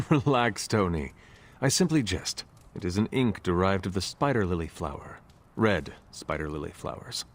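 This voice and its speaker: An actor playing a solemn, courteous ancient warrior with dry wit in a video game, speaking formally in a gravelly voice. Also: male voice, low voice, expressive. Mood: dryly amused. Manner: formal, measured, no contractions.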